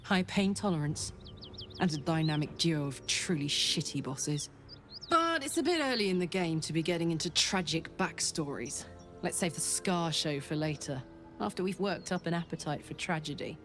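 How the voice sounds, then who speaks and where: A woman voicing a brash, warm-hearted tiefling warrior in a video game, with cocky soldierly banter. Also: low-pitched, animated, moderate pace. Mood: playful, cocky. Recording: music behind the voice.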